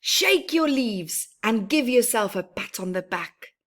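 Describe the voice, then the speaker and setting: Woman as a slow, proud father tree. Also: fairly high pitch, animated, fairly bright tone. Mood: proud, fatherly. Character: proud, generous father tree, adult male. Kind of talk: children's storybook dialogue.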